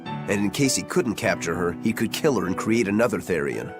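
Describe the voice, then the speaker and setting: A man's voice: lowish voice, expressive, a little fast, over music. An actor voicing a laid-back young swordsman in game dialogue, talking in a casual, wry, blunt way. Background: game score.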